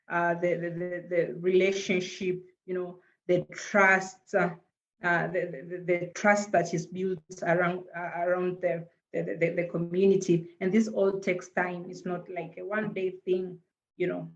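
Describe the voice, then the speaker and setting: A woman's voice: lowish voice, little pitch movement, measured pace, dull audio. A woman from Tanzania with a Tanzanian accent, a native Swahili speaker, answering earnestly as a panelist and often repeating words as she searches for them. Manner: earnest, hesitant with frequent repeated words and fillers.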